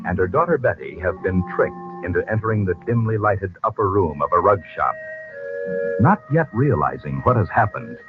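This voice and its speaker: 40s radio announcer guy, lowish voice swooping all over, polished salesy, tinny with music. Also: animated, normal speed. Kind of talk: 1940s scripted radio announcing and ad reading.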